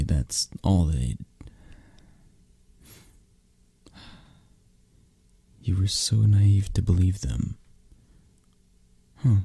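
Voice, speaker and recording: A man playing a possessive, menacing mafia boss lover in an ASMR roleplay, with a breathy, gravelly voice and a halting, condescending manner. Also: low voice, warm tone, no echo, exaggerated voice. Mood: suspicious, probing. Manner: slow, halting, controlling, condescending. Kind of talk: ASMR roleplay, intimate close-up acting.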